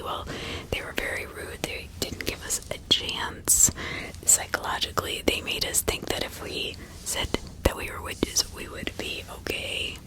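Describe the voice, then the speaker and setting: A woman speaking in a breathy, hushed whisper as an ASMR host, reading and role-playing in an informal, conversational way. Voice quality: breathy unvoiced whisper with some crackle.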